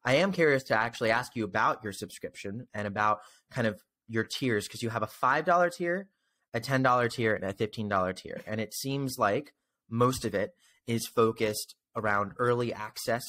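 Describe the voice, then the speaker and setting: A man's voice: medium pitch, moderate pace, sharp tone. A young man speaking conversationally as a podcast interviewer, close to a desktop microphone on a video call. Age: young adult. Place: indoors at home, on a video call. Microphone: desktop microphone close in front.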